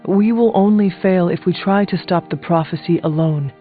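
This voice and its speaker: An actor playing a stoic, fatalistic warrior hero in a video game, speaking in terse, formal, solemn sentences. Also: male voice, high-pitched, moderate pace, natural voice. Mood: grimly resolved. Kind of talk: scripted video game character dialogue. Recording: tinny band-limited audio with music.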